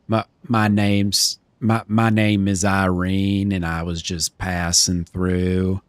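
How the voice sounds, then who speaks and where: A man playing an 82-year-old woman who has smoked since age twelve, with a deep, smoky voice and a stammering, prim politeness. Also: little pitch movement, slow, dry recording. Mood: prim, earnest. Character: woman of 82, chain-smoker, eager to remarry. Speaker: streamer playing a character. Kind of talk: roleplay.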